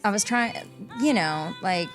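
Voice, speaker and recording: A woman's voice: mid-range voice, lively intonation, unhurried, music in the background. A woman, chatting casually as an interview guest in a small recording studio, rambling and laughing often. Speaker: singer-songwriter guest.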